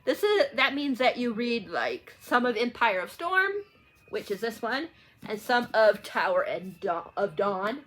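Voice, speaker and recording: A woman's voice: a little high, very animated, a little slow, fairly bright tone. A woman talking casually to viewers in a rambling, informal book vlog, often getting sidetracked. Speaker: book channel vlogger.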